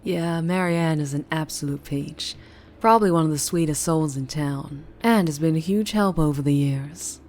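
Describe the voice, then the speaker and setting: Woman voicing a low-voiced, teasing Southern vampire lady. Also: darkish tone, slight background noise, lightly acted. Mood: pleased, confiding. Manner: teasing, pet names, unhurried. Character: Mimi, self-assured flirtatious female vampire boss. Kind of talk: roleplay ASMR audio drama.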